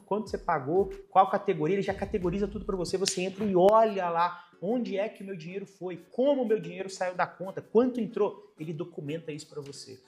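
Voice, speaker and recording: Man, Brazilian accent, finance host, blunt and profane, rapid delivery, faint background music. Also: high-pitched. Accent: Brazilian Portuguese. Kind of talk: fast, blunt advice monologue.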